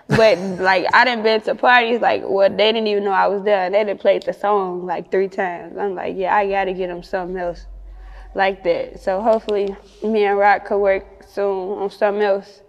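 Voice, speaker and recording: A woman's voice: mid-range voice, flat delivery, normal speed, long reverb tail, dull audio. A woman with a Chicago accent chatting casually in an interview as a rapper, with slangy, sweary talk. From Chicago, United States.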